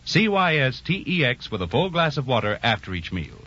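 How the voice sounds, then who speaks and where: A man's voice: mid-range voice, big pitch swings, fairly quick, sharp tone, husky. A man reading a 1940s radio commercial as announcer, earnest, persuasive and carefully enunciated. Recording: muffled, humming 1940s radio recording.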